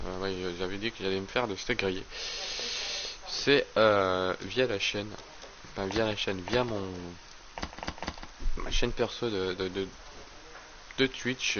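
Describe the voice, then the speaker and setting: A man streaming a game while talking casually, rambling and stammering through repeated words, with a slight hoarseness from a cold. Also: lowish voice, background noise. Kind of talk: casual let's play commentary. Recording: slightly dull lo-fi stream audio.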